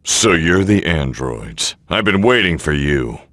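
Stern alien fighter, anime game. Low, gruff, menacing, with lively inflection and a dry, close sound. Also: male voice, measured pace, dry recording, exaggerated voice. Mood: menacing, taunting. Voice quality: deep, gruff. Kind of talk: English anime game voice acting.

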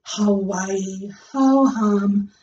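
A woman voicing a bored, dismissive young schoolgirl show-off in a storybook, with flat disdain. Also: mid-range voice, drawn-out words, roomy sound, distant mic, muffled recording, a little hiss or hum. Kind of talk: acted children's storybook reading.

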